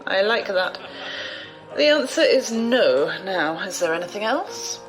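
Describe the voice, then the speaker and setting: A woman playing a shrewd, imperious old noblewoman in an audio drama, measured, condescending and amused. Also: mid-range voice, animated, faint music behind, lightly acted, rough recording.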